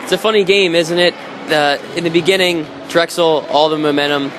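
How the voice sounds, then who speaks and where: A man giving halting, opinionated analysis as the colour commentator on a live hockey broadcast from a noisy arena. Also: on the high side, fairly even intonation. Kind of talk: conversational live sports analysis. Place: indoor ice hockey arena. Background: clear arena background noise.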